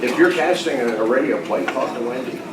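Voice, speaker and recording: A man's voice: mid-range voice, brisk, heavy background noise. A man chatting casually and jokingly at a social gathering. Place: club luncheon in a busy room. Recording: distant, echoey recording in crowded room. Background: loud crowd chatter.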